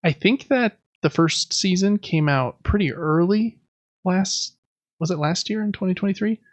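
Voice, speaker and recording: A man's voice: high voice, lively intonation, normal speed, no echo. A man co-hosting a podcast, talking through gaming news in a casual, conversational way. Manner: conversational, loosely reading through news items. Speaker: podcast co-host.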